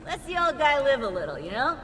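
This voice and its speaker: Bright, fast, cheeky young woman. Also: high voice, very animated. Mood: teasing. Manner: playful, flippant. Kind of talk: naturalistic game banter. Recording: reverberant room, faint music behind.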